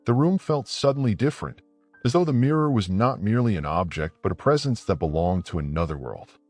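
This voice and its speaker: A man's voice: fairly low pitch, animated, brisk, a little mellow, dry recording, soft background music. A man narrating a scripted folktale as a dramatic storyteller.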